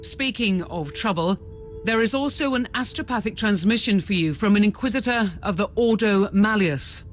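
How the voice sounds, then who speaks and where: A woman playing a dutiful ship officer in a sci-fi video game, briefing a commander crisply and respectfully. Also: medium pitch, moderate pace, faint music behind, close to an everyday voice. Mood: alert, dutiful. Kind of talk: scripted video game dialogue.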